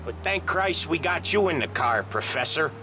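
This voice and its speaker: High-voiced, hot-headed mobster. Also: male voice, normal speed. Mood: cocky, emphatic. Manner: excitable, emphatic tough-guy talk. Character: hot-headed, swearing, wisecracking 1930s mobster. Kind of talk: video game cutscene acting. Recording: engine and gunfire noise behind.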